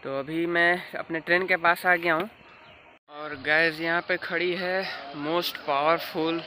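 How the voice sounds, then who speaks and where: A man's voice: on the high side, steady tone, unhurried, sharp tone, slight background noise, poor audio. Young man vlogging from a train platform. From Bihar, India. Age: young adult. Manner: chatty, addresses viewers directly. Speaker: travel vlogger. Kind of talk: casual travel vlog narration. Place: train stations and platforms at night, outdoors. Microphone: phone held at arm's length.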